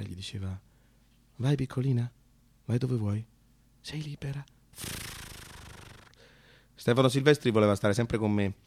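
A man performing a comic autobiographical radio monologue, halting and repeating words as he acts out bits of dialogue. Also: lowish voice, creaky, darkish tone, dry recording. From Rome, Italy. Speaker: actor and narrator.

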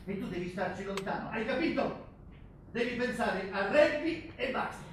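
Forceful coach, echoing stage. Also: male voice, high voice, expressive, normal speed. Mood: angry, warning. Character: gruff, impatient male rugby coach, wary and commanding. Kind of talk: theatrical stage dialogue. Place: theatre stage. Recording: echoey, noisy theatre from far off. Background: clear ambient noise.

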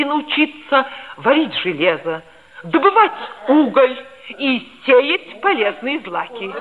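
A preachy, pompous schoolteacher in an old radio play. She lectures slowly with big swoops of pitch, over a thin, narrow-band recording. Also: heavily acted. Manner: pompous, lecturing, repeats herself. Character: stern, preachy female primary-school teacher.

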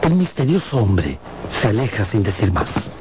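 A man's voice: on the low side, big pitch swings, normal speed, background noise. A man giving dramatic scripted narration for a radio drama. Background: loud music.

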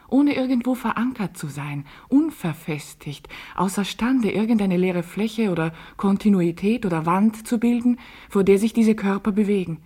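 Fragile, alienated woman played by an actress, breathy and husky, poetic literary radio monologue. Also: medium pitch, a little mellow, natural voice. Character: fragile, alienated woman who feels faceless.

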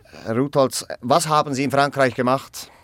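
An actor playing a stern official in a radio drama, questioning formally, curtly and insistently. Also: male voice, medium pitch, normal speed, a bit bright, no room sound, close to an everyday voice. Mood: probing, curt. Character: stern, probing official interrogating a job applicant.

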